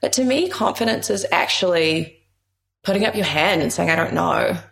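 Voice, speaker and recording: Low, gravelly New woman. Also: animated, normal speed, fairly bright tone. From New Zealand. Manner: thoughtful, frequent hedges like "kind of". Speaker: guest, founder and consultant. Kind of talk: reflective interview conversation.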